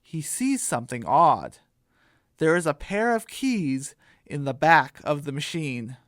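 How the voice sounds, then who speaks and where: A man's voice: a little high, very animated, a little slow, a bit bright, no echo, clean studio sound. A man reading scripted narration for a comic audio story as its storyteller.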